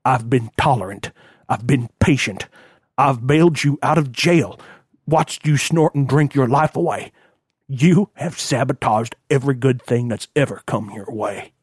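A man's voice: medium pitch, a little slow, breathy edge, dry recording, studio quality. A man voicing a stern, bitter, ailing old rich father in an audiobook, scolding and folksy as he gives orders. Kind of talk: audiobook character acting.

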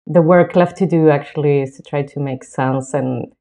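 Low gravelly woman, relaxed. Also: normal speed. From southern Chile. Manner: relaxed and thoughtful, with fillers and soft laughs. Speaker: guest, lighting designer. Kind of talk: casual interview talk. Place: home.

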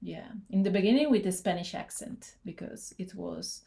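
A woman's voice: medium pitch, moderate pace, creaky, dark tone. A woman from Italy with an Italian accent, a podcast guest chatting in English, laying things out point by point. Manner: plain, listing things point by point. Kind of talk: casual interview chat.

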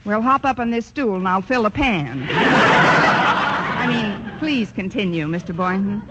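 A woman's voice: mid-range voice, animated, measured pace, lightly acted. Woman playing a wisecracking schoolteacher. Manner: dry wisecracking quips. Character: witty wisecracking female English teacher, adult. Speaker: schoolteacher lead. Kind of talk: 1940s radio sitcom acting. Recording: dull old radio broadcast with loud hiss.

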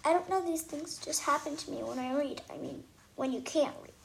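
A woman voicing an excitable, bossy young girl in a storybook, talking in a breathless, theatrical way. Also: high-pitched, a little fast, vocal fry, a little hiss or hum, low-quality recording. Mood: explaining.

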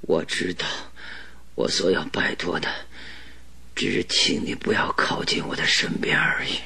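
An actor playing a gravely ill, irritable detective in a radio drama, with laboured, breathy speech and an imperious manner. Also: male voice, deep voice, unhurried, sharp tone, full character voice. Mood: gasping, pleading. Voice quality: breathy, gasping, creaky.

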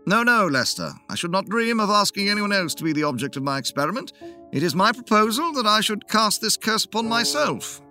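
An earnest, confident Victorian gentleman, as a male storyteller reads him who addresses his club with a raised, declaiming voice. His pitch sits high and swings widely as he makes his case. The tone is bright and forward. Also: sing-song, a little fast, faint music behind, exaggerated voice. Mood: insistent.

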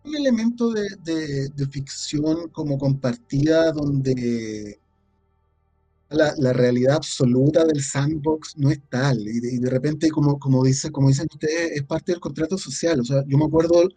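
A man with a Chilean accent in casual panel conversation, halting and repeating words as he forms his thoughts. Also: on the high side, mellow, husky, dry recording, faint music behind. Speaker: panelist on a tabletop role-playing talk show.

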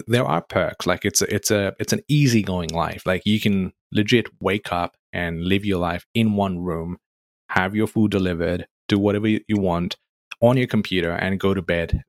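A young man from Nepal, hosting an interview in a relaxed, chatty way with lots of "um" and "like". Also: sits low, normal speed, no echo, clean studio sound. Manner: relaxed, chatty, many fillers like "um" and "like". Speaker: podcast host. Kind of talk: casual interview conversation.

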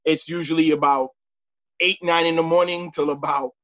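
A man's voice: fairly high pitch, steady tone, bright, forceful tone, no echo, highs cut off. A man in his late thirties from the United States, chatting casually as an interview guest and often repeating his words. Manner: halting, repeats words and phrases. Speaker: podcast guest, sports referee.

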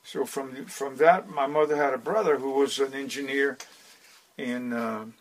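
A man in his eighties, Dutch by first language and from the Netherlands, recounting his life as a podcast guest in an unhurried way with many restarts. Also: medium pitch, fairly even intonation, poor audio. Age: 80s. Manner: unhurried storyteller with frequent restarts. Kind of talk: storytelling interview.

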